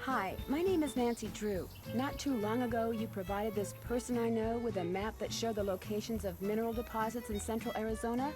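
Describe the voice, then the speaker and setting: A young amateur detective in an adventure game, played by a woman. She is polite and inquisitive, with a fairly high voice. Music is clearly heard behind her. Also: brisk, few pauses, natural voice. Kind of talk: scripted adventure game dialogue.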